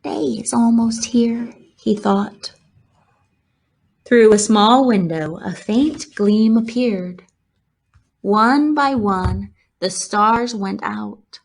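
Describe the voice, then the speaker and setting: A woman's voice: medium pitch, very slow. A woman narrating a children's storybook as a scripted read-aloud. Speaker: storybook reader.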